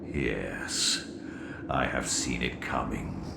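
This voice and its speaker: An extremely deep, creaky villain in a video game cutscene. He is ominous and formal, echoing through loud background noise. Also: very slow, full character voice. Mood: coldly satisfied. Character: ominous, coldly satisfied villain awaiting sacrifice. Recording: echoey, very noisy ambience.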